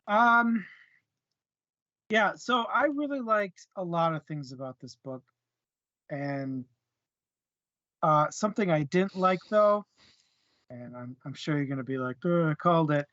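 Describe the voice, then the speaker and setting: Man, slightly high voice, slow pace, hesitant casual book talk, dull dry recording. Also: expressive, fairly bright tone, no room sound. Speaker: book club podcast co-host.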